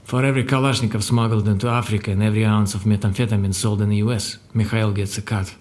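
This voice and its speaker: A proud Russian secret service man played by a male actor, with a low voice. He is grave and boastful, setting out his claims in deliberate, dramatic lists, in the manner of an audio drama. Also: flat delivery, a little mellow, natural voice. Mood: grave, impressed. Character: proud, solemn Russian secret service agent turned crime boss's secretary.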